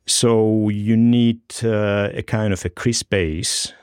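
A man's voice: fairly low pitch, steady tone, slow, darkish tone, clear, resonant tone, no room sound, clean studio sound. A middle-aged man from Greece, a bartender guest, talking casually with many fillers and restarts. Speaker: guest bartender and bar co-owner. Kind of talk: casual interview talk.

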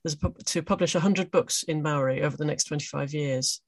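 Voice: medium pitch, brisk, no echo. A podcast host who talks in a hesitant way, restarting often while building a point. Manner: hesitant, with many restarts and fillers. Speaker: podcast host and magazine editor. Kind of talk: podcast conversation.

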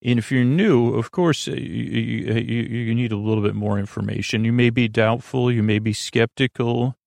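A man hosting a bedtime podcast in a creaky, lulling, soothing voice, rambling and halting as he interrupts himself, with softly enunciated words. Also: mid-range voice, dry recording, clean studio sound. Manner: meandering, halting, self-interrupting; soft, gentle enunciation. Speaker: podcast host.

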